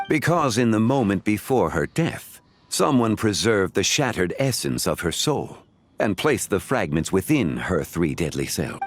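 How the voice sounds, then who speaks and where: Game sage: dignified, ancient, composed; low register, formal and patient, with varied intonation. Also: male voice. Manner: formal, measured, explanatory. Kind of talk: video game voice acting.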